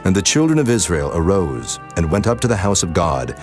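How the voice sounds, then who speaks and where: Deep-voiced male scripture narrator. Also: very animated. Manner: solemn, measured. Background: music.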